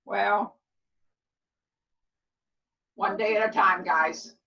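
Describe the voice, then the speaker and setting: A woman's voice: mid-range voice, steady tone, drawn-out words, lo-fi sound. A woman of seventy talking casually to a class as their instructor, emphatic and motherly, often repeating herself to check she is understood. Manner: loud, clear talker; motherly pet names for listeners. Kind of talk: casual motivational class talk.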